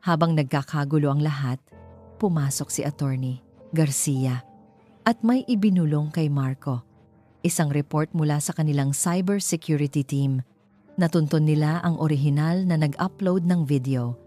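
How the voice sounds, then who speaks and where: Female Filipino narrator for dramatic love stories, low mellow voice over faint music. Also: moderate pace. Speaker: storyteller, narrator. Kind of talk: dramatic scripted narration of a romance story.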